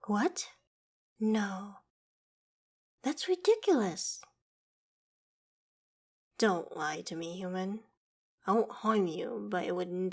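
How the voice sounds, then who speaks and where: Slow-speaking, haughty female dragon, acted by a woman. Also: mid-range voice, husky, full character voice. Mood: indignant, demanding. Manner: formal, haughty, theatrical phrasing. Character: proud, vain ancient female dragon in human form. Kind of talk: ASMR roleplay audio drama.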